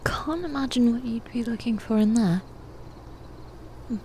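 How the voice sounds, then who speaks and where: A woman playing a haughty, teasing female demon knight in an ASMR roleplay, speaking softly and deliberately, often dropping into a whisper. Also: on the high side, slight background noise, natural voice. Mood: sly, taunting.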